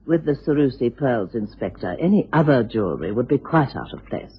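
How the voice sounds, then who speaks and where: An actress playing a dignified, dramatic aristocratic widow with an upper-class English accent in a radio drama. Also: female voice, very deep voice, big pitch swings, a little fast, exaggerated voice. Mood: stately. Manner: genteel, dramatic. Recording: muffled, husky-sounding old radio recording.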